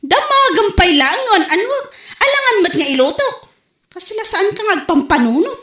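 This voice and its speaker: A woman's voice: very high voice, moderate pace, reverberant, full character voice. Woman acting a chatty Ilocano village wife. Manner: animated, laughs while bargaining. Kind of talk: comedy drama acting.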